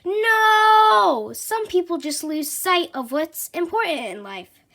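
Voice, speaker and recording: A woman voicing a kindly old man in a storybook, who explains things gently and reassuringly. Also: extremely high pitch, lively intonation, slow, gravelly, voice pushed up, exaggerated voice. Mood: regretful, emphatic.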